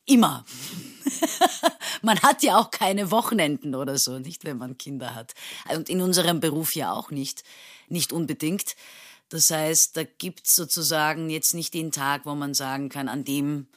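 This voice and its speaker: A woman's voice: low voice, lively intonation, measured pace. A thirty-something woman from Turkey speaks in an easy interview conversation, thinking aloud as she goes. Her sentences circle back with fillers and restarts, and she often breaks into a laugh. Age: 30s. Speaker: actress and interview guest.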